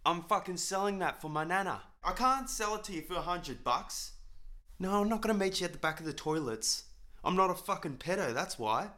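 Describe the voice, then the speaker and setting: An actor playing a cocky, foul-mouthed young Australian man in a small suburban flat. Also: high-pitched, a little fast. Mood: irate. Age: young adult. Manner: crude, cocky, swears constantly. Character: brash foul-mouthed young Aussie hustler. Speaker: actor playing Jono, a crude young son.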